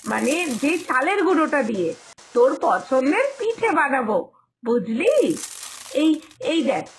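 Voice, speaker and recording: High-pitched coaxing village mother acted by a woman. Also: big pitch swings, normal speed, slight background noise. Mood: patient, coaxing. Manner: coaxing, explanatory, repeats phrases for emphasis. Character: doting, hard-working village mother coaxing her young daughter. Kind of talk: dramatised cartoon moral story dialogue.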